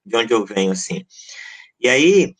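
Earnest, passionate Brazilian man in mid-pitch. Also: sing-song, unhurried, poor audio. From Brazil, born in Maranhão and raised in Goiás. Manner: earnest, passionate, with emphatic lists and frequent restarts. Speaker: guest, pastor and social scientist. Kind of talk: earnest interview answers.

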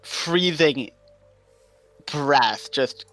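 A man running a tabletop game as game master, narrating scenes in a drawn-out way and laughing often with his players. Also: on the high side, expressive, a bit bright, soft background music.

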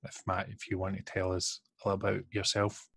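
A man hosting a podcast, his voice unusually deep from a call glitch, hesitant with many fillers and restarts, recorded over a video call. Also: completely flat delivery, a little fast, creaky, slightly rough. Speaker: podcast host. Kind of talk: podcast intro and interview questions.

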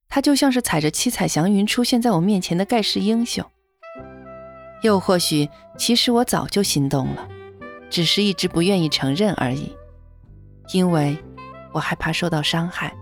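An actress playing a modest young female office worker in an audio drama, in first-person narration and dialogue. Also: lowish voice. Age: young adult. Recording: music bed behind the voice.

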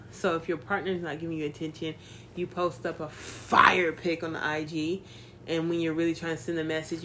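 A woman's voice: lowish voice, a bit bright, a little hiss or hum. A woman in her thirties in casual chat, playful and teasing with slang.

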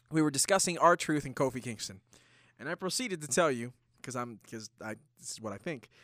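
A man co-hosting a wrestling podcast in casual chat, teasing and getting loud when he argues. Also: mid-range voice, no echo, studio quality. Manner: animated, teasing, gets loud when arguing. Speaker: podcast co-host. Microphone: studio microphone.

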